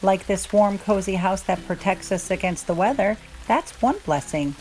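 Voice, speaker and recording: A woman voicing a patient, firm, loving mother bear, gently scolding and instructive, in a children's storybook. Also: medium pitch, moderate pace, a little hiss or hum, lightly acted, poor audio. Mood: comforting.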